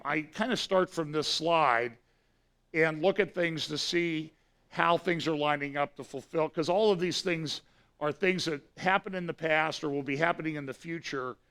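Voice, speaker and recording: Raspy hoarse guy preaching at church, kinda bright edgy tone, talks loose with lots of ums. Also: a little high. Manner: conversational, with frequent fillers and restarts. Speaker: pastor giving weekly prophecy update. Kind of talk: church talk.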